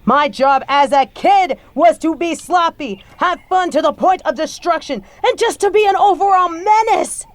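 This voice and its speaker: A woman's voice: extremely high pitch, normal speed, hardly any pauses, no echo. A woman playing a bitter adult daughter confronting her stepfather in an audio roleplay, scathing, profane and confrontational. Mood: hurt, accusing. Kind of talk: scripted audio roleplay monologue.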